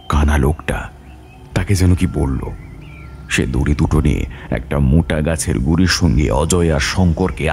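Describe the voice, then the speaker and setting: Very deep male suspense storyteller. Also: vocal fry, darkish tone. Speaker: story narrator. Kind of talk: dramatic scripted narration of adventure story.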